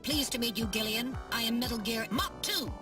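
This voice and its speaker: A woman's voice: on the high side, moderate pace, natural voice. A woman voicing a small, dutiful robot assistant in a video game, speaking in formal, precise phrases. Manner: formal, precise, informative diction. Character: small polite robot personal-assistant navigator, dutiful and earnest. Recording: distant voice under loud music.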